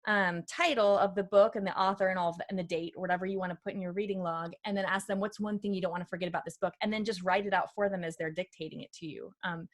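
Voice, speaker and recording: Woman, guest expert, mid-range pitch, informal, conversational advice, illustrative imagined quotes. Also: fairly even intonation, fast, hardly any pauses. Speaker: guest expert on reading aloud with children. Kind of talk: conversational advice in a panel discussion.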